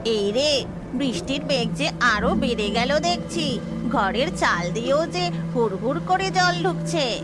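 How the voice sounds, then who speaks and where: Casting: actress as a friendly village ghost woman in human form, high voice, horror-cartoon delivery over music. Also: animated, a little slow. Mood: concerned, friendly. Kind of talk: Bengali cartoon voice acting.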